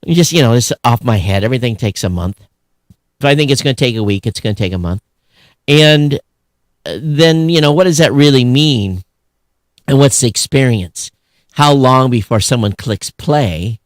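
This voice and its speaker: A man's voice: mid-range voice, expressive, moderate pace, no echo. Emphatic man talking shop, dry close sound. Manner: emphatic, acts out imagined dialogue. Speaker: podcast host. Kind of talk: casual industry discussion.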